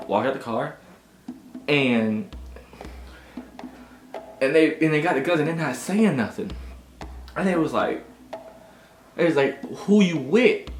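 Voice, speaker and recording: A young American man in his twenties casually telling a personal story in an expressive, self-mocking way and acting out dialogue. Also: on the high side, a little hiss or hum. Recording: roomy echo with music playing behind. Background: music.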